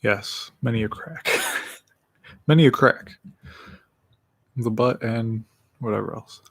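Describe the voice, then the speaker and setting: Slow, rambling man with a lisp. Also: medium pitch, fairly bright tone, dry recording. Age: late 20s. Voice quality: slight lisp. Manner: rambling, self-deprecating, laughs often; eating while talking. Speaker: livestream host. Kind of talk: casual solo livestream chat.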